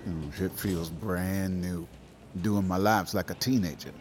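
A man's voice: low voice, steady tone, unhurried, a little hiss or hum. A man playing a genial older security gatekeeper in an audio drama, laid-back and familiar in his manner. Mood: genial, easygoing. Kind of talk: naturalistic audio drama acting.

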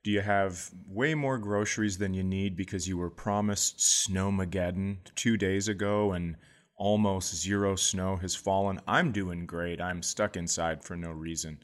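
A man's voice: low voice, steady tone, a little slow. A middle-aged man hosting a comedy podcast, chatting casually and playfully, slipping into goofy voices for jokes.

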